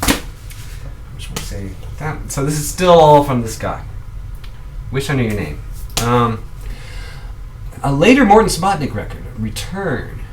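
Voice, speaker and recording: A man talks casually through his finds in his own video, rambling and restarting his sentences. His voice sits at a middle pitch and sounds a little away from the microphone. A faint hiss lingers underneath. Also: very animated, a little slow, pauses often, recorded from a distance. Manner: rambling, chatty, with many ums and restarts. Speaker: vlog host showing records. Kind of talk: casual show-and-tell. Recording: voice a bit off-mic, faint background noise.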